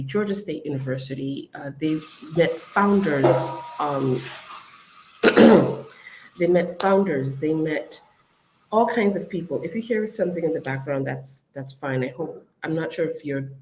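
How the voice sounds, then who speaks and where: An adult host giving a casual live-stream update to followers, chatty and upbeat, with frequent ums and shout-outs. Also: mid-range voice, slight background noise, rough recording. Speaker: business community host.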